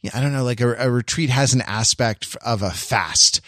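A man co-hosting a podcast in casual conversation, rambling and halting as he circles back to rephrase his thoughts. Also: medium pitch, fairly bright tone, no echo, clean studio sound. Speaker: podcast co-host.

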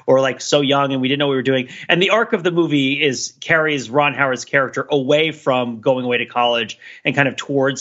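A man co-hosting a podcast, giving casual, discursive pop-culture commentary in an animated, digressive and emphatic way. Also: medium pitch, highs cut off. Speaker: podcast co-host.

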